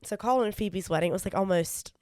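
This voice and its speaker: A woman's voice: medium pitch, fast talker, a little mellow, no room sound, studio quality. A young woman co-hosting a casual podcast, sounding nasal from a cold, easygoing with many fillers. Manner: easygoing, laughs often, fillers. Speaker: podcast co-host. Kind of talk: casual chat.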